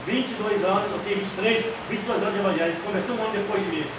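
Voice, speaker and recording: A man's voice: sits high, racing, dull audio. A man in his fifties teaching a seminary class, preaching with rhetorical questions and personal testimony. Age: 50s. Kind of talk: preaching lecture on the Psalms. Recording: echoey distant room mic with background noise.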